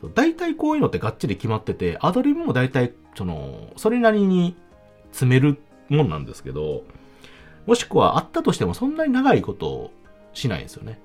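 A man with a Kansai accent hosting a casual solo talk podcast, chatting in an animated, emphatic way. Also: medium pitch, a little fast. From Kansai, Japan. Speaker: podcast host. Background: background music.

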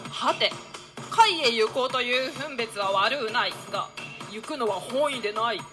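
A woman's voice: on the high side, normal speed, music in the background, lightly acted. A woman playing a gloomy, lovesick young nobleman in a radio drama, with plaintive, archaic theatrical delivery. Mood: reluctant, downcast.

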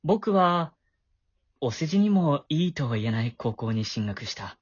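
A man's voice: on the high side, very animated, unhurried, breathy edge, no echo, muffled recording, full character voice. A man acting a shy, awkward middle-school boy with a crush in an amateur voice drama, flustered and prone to stammering. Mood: wistful narration.

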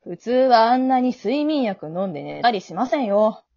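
A courteous, kindly reaper played by a woman guides a soul in an amateur radio drama. The voice sits fairly high and stays businesslike yet earnest and reassuring. The recording is a little dull and lo-fi. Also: sing-song, moderate pace, mellow. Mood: matter-of-fact. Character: polite, kindly male guide of the afterlife, secretly an older brother.

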